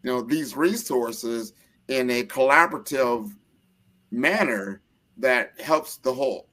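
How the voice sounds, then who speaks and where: A man from the United States with a fairly low voice, speaking conversationally as the host of an interview. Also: slow, a bit bright. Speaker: show host.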